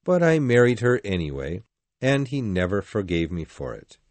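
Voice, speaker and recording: A man voicing a brooding, quietly bitter adult man in storybook dialogue, measured and commanding. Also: lowish voice, very animated, no echo. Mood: sad, bitter.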